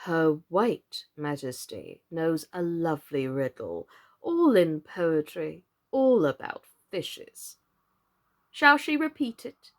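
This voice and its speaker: A domineering storybook queen, all clipped orders and royal impatience, acted out by a woman. Her voice leaps from high, loud demands to low, grave mutters, never settling. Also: lively intonation, takes it slowly, full character voice. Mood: solemn. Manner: barking sharp commands.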